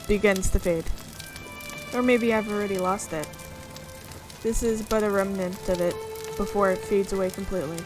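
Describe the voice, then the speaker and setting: A woman hosting a gaming video, reading a visual novel aloud in an expressive way with exclaimed reactions. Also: medium pitch.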